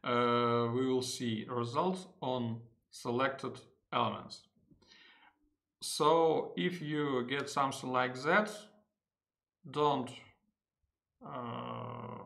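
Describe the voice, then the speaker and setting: A man with a Russian accent and Russian as his first language, giving an unedited software tutorial in English, halting often with fillers and correcting himself. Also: medium pitch. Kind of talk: unedited screen-recorded tutorial.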